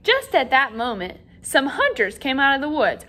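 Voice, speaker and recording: Female storybook reader, teacher type: higher-pitched, very lively intonation, dramatic emphasis aimed at young children. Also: normal speed, slight background noise. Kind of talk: animated storybook read-aloud.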